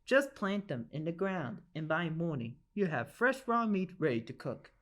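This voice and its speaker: A woman's voice: lowish voice, very animated, moderate pace. A woman voicing a big grumpy but kind male grizzly bear in a storybook, with a growly, scolding manner. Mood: kindly, explaining. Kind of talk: storybook character voice.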